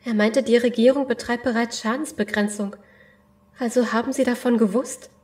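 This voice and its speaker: A woman's voice: fairly high pitch, steady tone, moderate pace, a little mellow, natural voice. An actress playing an alarmed, questioning female survivor in a horror audio drama.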